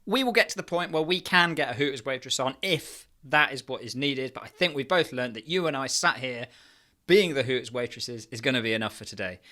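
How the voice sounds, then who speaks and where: A man speaks with a bright, crisp-edged tone. His delivery is casual and conversational, in the style of a comic podcast co-host. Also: mid-range voice, animated, brisk. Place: indoors on a video call.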